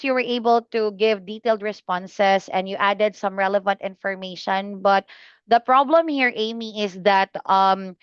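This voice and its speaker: A woman tutoring English exam students, giving feedback slowly and patiently with many fillers and pauses. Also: on the high side, smooth, dry recording, highs cut off. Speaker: IELTS speaking tutor.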